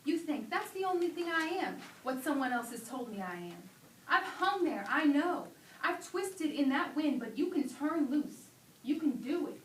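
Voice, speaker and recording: A woman's voice: sits high, expressive, moderate pace. A woman giving an earnest dramatic staged reading of a sermon, drawing out key phrases for emphasis. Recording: echoey distant hall, faint background noise.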